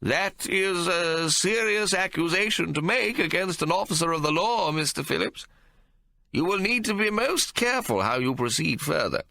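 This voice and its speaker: A man voicing a stern, commanding judge who talks slowly and pompously. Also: sits high, expressive, vocal fry, sharp tone, no echo, voice pushed up, heavily acted. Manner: slow, pompous, measured.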